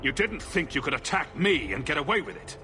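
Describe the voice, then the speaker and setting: A man's voice: fairly high pitch, big pitch swings, brisk, bright, forceful tone, soft background music. A man playing a resolute, direct hero in a fantasy video game, questioning firmly. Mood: demanding. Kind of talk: video game cutscene acting.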